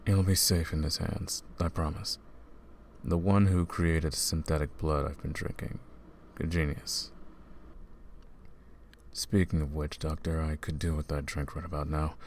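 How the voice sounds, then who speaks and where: A man voicing a brooding male vampire who hunts vampires, soft-spoken and calm in an ASMR roleplay. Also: very deep voice, unhurried, slight background noise. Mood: weary.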